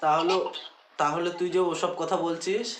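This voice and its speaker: A man's voice: on the high side, fairly even intonation, normal speed, over a noisy crowd. A young man with a West Bengali accent, chatting casually as a prank vlogger in a home recording. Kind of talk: casual chat to camera and on calls. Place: indoors at home.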